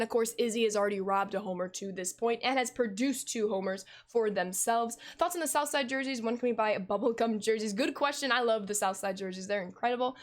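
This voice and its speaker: A woman in her twenties with a fairly high, slightly hoarse voice calls the action of a game for a live stream. She keeps an upbeat, quick commentator's rhythm and breaks off to thank viewers by name. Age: 20s. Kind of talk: live play-by-play commentary and chat reading.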